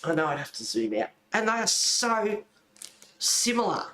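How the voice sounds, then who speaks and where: A man's voice: sits high, sing-song, moderate pace, sharp tone. A man with an Australian accent chatting casually as a livestream host, rambling and easily sidetracked. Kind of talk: casual chat. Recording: roomy echo, faint noise.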